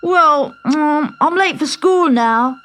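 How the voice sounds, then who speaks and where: A woman voicing a cheeky, excitable schoolboy in a children's audio storybook, speaking with boyish eagerness. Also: high voice, slow, voice pushed up. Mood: sheepish.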